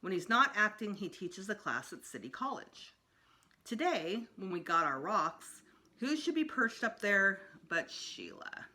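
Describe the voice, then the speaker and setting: Bright, animated woman reading aloud. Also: medium pitch, unhurried. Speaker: storytime reader. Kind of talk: children's book read-aloud, expressive narration.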